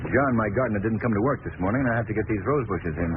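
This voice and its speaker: Man playing polite gentleman detective in old radio serial, lively expressive voice, noisy narrow sound. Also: on the low side, rapid-fire, close to an everyday voice. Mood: mildly flustered. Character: genial, well-bred gentleman amateur sleuth, politely inquisitive. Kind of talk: 1940s radio drama acting. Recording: noisy, phone-like vintage radio recording.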